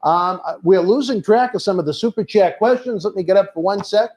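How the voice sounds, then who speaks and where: A man's voice: sits high, fairly quick, darkish tone. A man hosting a casual livestream, chatting and reading out viewer questions. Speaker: livestream host.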